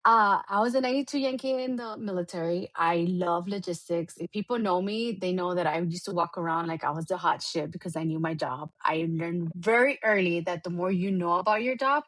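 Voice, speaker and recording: A woman's voice: fairly low pitch, a little fast, few pauses, a bit bright, dry recording. Give me a blunt woman with a Colombian-American accent, recorded dry. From South Florida. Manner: blunt, laughs often. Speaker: podcast co-host. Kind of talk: casual chat.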